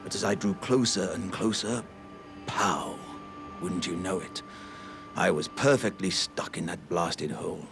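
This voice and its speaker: A man's voice: low voice, a little slow, gravelly, fairly bright tone, soft background music, full character voice. A man voicing a big, earnest, jovial living warrior jar in a video game, with theatrical, old-fashioned courteous speech. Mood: wistful, resolute. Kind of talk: video game character acting.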